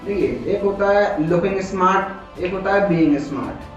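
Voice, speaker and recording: A man's voice: high-pitched, moderate pace. A man with an Indian accent lecturing informally like a classroom teacher, explaining by asking questions and answering them himself. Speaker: teacher, coaching instructor. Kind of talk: informal classroom-style lecture, mixing Hindi and English. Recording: echoey room, distant mic, dull sound, background music.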